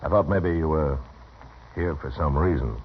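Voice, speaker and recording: A very deep-voiced American marshal of the Old West, curt and authoritative. He speaks in short, dry, weary sentences. The recording is dull vintage radio with some hiss. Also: moderate pace. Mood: cool, probing. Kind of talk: 1950s Western radio drama acting.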